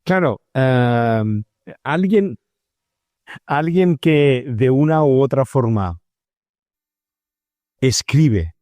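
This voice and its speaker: A man's voice: medium pitch, animated, drawn-out words, pauses often, a little mellow, dry recording. A man with a Peninsular Spanish accent interviewing conversationally, searching for words and often checking for agreement.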